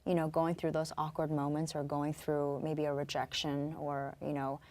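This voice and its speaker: A young woman from Korea, raised on Long Island, speaking as a guest in a relaxed interview. Her voice sits somewhat low for a woman, and she talks in a soft, reflective way, pausing often to find her words. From born in Korea, raised in Long Island. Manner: soft-spoken, reflective, many fillers. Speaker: guest, singer-songwriter. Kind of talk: casual interview talk.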